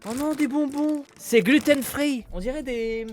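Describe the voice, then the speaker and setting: High-voiced excitable male streamer. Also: measured pace, faint music behind. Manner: excitable, loud exclamations, casual swearing. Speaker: streamer opening a viewer's package. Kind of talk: casual unboxing chat.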